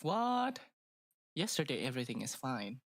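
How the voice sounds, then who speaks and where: A woman's voice: rumbling low, sing-song, normal speed, dry recording. A woman streamer with a stuffy nose chatting casually and rambling, with lots of fillers and hesitations. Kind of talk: casual live-stream chat.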